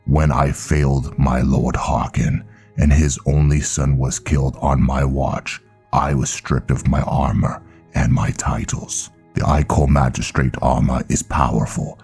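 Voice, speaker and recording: A man voicing a solemn, commanding vampire blood knight elder in a fantasy roleplay, with a gravelly, grave, measured and formal delivery. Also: very deep voice, darkish tone, heavily acted. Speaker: character narrator in a roleplay story. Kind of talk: dramatic scripted fantasy roleplay.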